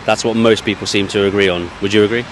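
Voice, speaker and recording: A man's voice: fairly low pitch, steady tone, fast, slight background noise. A man interviewing students on the street with a handheld mic, asking probing, leading questions and repeating his words. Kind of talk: vox-pop street interview. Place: outdoors on a street near a university.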